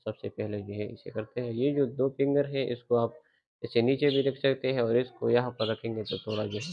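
A man's voice: fairly low pitch, vocal fry, no echo. Young man coaching cricket grips, dull audio. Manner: relaxed explaining, heavy use of filler phrases. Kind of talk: casual how-to tutorial. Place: rooftop outdoors.

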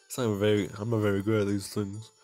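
A man with a fairly low voice hosts a visual novel playthrough. He reads the text aloud casually and drops in jokey, self-mocking remarks. Faint game music plays behind him. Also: flat delivery, fast, a little mellow. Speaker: video game playthrough host.

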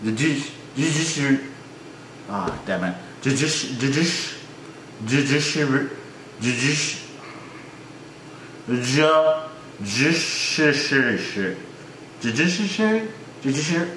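A man's voice: mid-range voice, very animated, slow, pauses often, fairly bright tone. A young man with a Trinidadian accent reacting to a video from a small room, joking and talking back at the screen. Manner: joking, mock-frustrated, talks back at the screen. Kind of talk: casual reaction commentary. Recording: echoey room with faint hiss.

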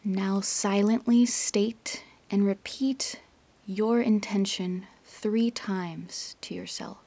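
A woman guides a meditation in a soft, husky near-whisper with a creaky edge. Her delivery is gentle and very even in pitch, with long pauses between instructions. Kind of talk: guided yoga nidra meditation.

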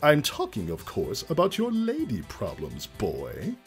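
An actor voicing a mischievous male chaos spirit in a fan fiction reading, theatrical and slyly persuasive, with a husky, raspy delivery. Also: mid-range voice, huge pitch swings, faint music behind, full character voice. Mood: sly, teasing. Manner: theatrical, drawn-out, swooping delivery.

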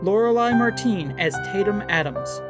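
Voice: mid-range voice, lively intonation, normal speed. An announcer reading scripted credits for an audio drama podcast. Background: music.

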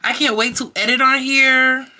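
A young woman in her late teens vlogging casually to a handheld camera, excitable and full of slangy exclamations and squeals. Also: high voice, normal speed. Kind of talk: casual vlog chat to camera. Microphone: handheld camera close to face.

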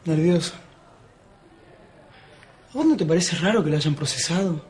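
A male actor plays a young Argentine man in a TV melodrama, troubled and hesitant. His voice is fairly high for a man, with faint noise behind it. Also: expressive, moderate pace, darkish tone, close to an everyday voice. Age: young adult. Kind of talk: telenovela acting.

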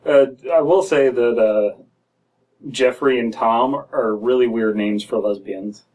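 A man's voice: mid-range voice, takes it slowly, a little mellow. Young American male co-host, mid-range voice, casual comic commentator, slightly distant mic. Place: small dim room indoors.

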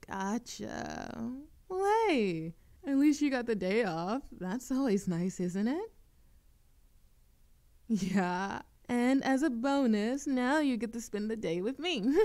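Slow-talking, teasing young barista played by a woman. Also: medium pitch, a little mellow, smooth. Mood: playful, teasing.

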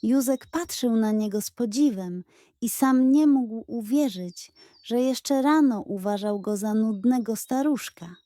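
A woman narrating a scripted children's story in a gentle, measured, expressive way. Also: on the high side, no room sound.

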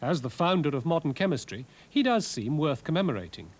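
A man giving scripted, scholarly documentary narration in a measured way, with wry asides. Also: fairly high pitch, sing-song. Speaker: presenter, art and architecture lecturer. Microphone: voice-over narration.

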